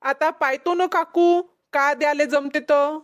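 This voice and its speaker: A woman's voice: high-pitched, steady tone, unhurried. A woman voicing a put-upon village pot peddler in a cartoon comedy, nervous and complaining, with a Vidarbha Marathi accent. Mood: meek, nervous. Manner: whiny, protesting, meekly deferential. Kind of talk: exaggerated cartoon comedy acting.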